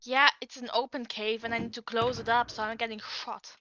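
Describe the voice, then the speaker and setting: A young woman in casual gaming chat with a bright, forceful edge to her voice. She sounds blunt and sarcastic, and her pitch sits somewhat high. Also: flat delivery, fairly quick, dull audio. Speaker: gaming friend.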